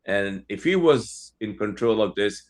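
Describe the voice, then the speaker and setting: A man from India with a fairly low voice talks with his guest in an easy, conversational way. He hesitates often, filling gaps with drawn-out sounds, and now and then chuckles mid-sentence. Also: animated. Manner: hesitant, many uh fillers, chuckles while talking. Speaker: interviewer. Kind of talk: conversational interview talk.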